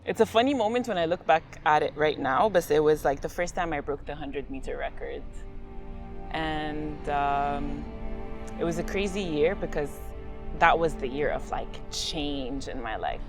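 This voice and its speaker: A woman's voice: sits low, normal speed, music in the background. A young woman athlete from Lebanon and Liberia, speaking reflectively in a documentary interview with casual fillers. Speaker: sprinter.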